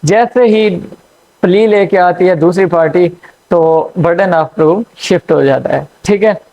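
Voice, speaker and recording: A man giving a law lecture, explaining slowly with frequent fillers and checks. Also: high voice, vocal fry, dark tone. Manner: hesitant, frequent fillers and checks "theek hai". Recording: dull lo-fi recording.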